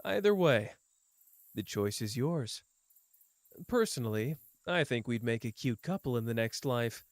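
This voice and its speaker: A man's voice: mid-range voice, expressive, measured pace, no room sound, studio quality. A man voicing a teasing, world-weary young man. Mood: playful. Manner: soft, teasing, drifting between playful and bleak. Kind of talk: ASMR roleplay.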